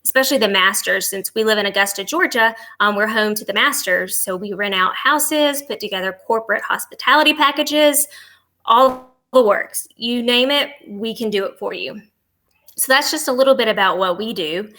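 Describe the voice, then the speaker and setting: A woman's voice: on the high side, moderate pace, sharp tone. A woman speaking as a podcast guest and travel agent, giving agreeable, informal answers with a promotional bent.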